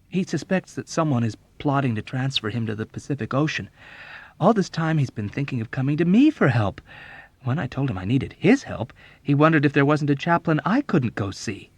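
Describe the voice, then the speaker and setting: A man voicing a meek, unhappy military chaplain in an audiobook, speaking softly in a plaintive, apologetic, earnest way. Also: mid-range voice, big pitch swings, moderate pace, slightly rough, no room sound, voice pushed up. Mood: dejected. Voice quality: soft.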